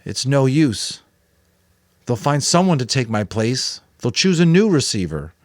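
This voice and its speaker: Man playing an earnest, insistent boy, raised pitch. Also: sing-song, measured pace, no room sound, studio quality. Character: earnest twelve-year-old boy, pleading and determined. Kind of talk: dramatic audiobook reading.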